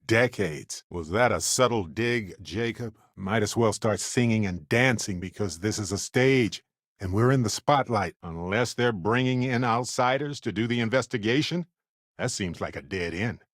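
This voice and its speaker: A man's voice: lowish voice, moderate pace, fairly bright tone, no room sound, clean studio sound. An actor playing a genial, witty male space explorer and scientist in a video game, talkative and wry with a theatrical flair. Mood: wry, chatty. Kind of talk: video game character voice lines.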